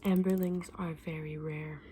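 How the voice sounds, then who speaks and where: A woman playing a friendly, candid dragon egg seller in a whispered, soft-spoken ASMR roleplay, explaining things with small hesitations. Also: deep voice, level tone, normal speed, slight background noise, natural voice. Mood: gentle, advising.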